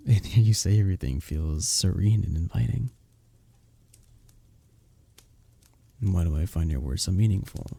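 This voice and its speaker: A man playing a gentle, earnest village innkeeper in a medieval ASMR roleplay, speaking softly and intimately in formal, old-world phrasing. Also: low voice, brisk, creaky, husky, exaggerated voice. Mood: warm, shy. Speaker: audio roleplay performer. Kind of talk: ASMR roleplay, intimate one-sided conversation.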